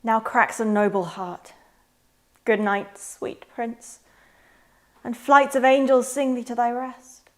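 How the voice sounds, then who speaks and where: Mourning Shakespearean companion, read by a woman: highish voice, tender, unhurried. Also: steady tone. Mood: mournful, tender. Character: grieving, tender loyal friend of a dying prince. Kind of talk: theatrical Shakespearean table read.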